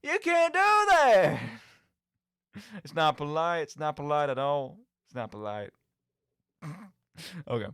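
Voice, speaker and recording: A man's voice: on the high side, takes it slowly, long pauses, smooth, dry recording, clean studio sound. A man hosting a show, casually rambling about video games in an emphatic way and often checking that listeners follow. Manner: animated, emphatic, often seeking agreement with "right?". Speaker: podcast and radio show host.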